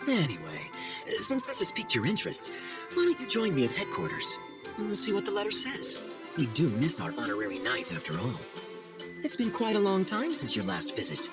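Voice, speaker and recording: A man voicing a suave, self-assured knight captain in a video game, talking in a smooth, wry, teasing way. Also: fairly high pitch, huge pitch swings, brisk. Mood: wry, reassuring. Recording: faint music behind.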